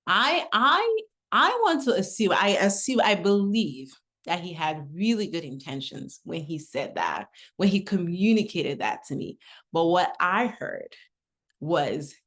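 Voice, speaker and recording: Middle-aged woman from Nigeria, low-ish voice, conversational webinar presenter. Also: sing-song, unhurried, a bit bright. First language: English. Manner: conversational, uses personal anecdotes. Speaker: webinar speaker.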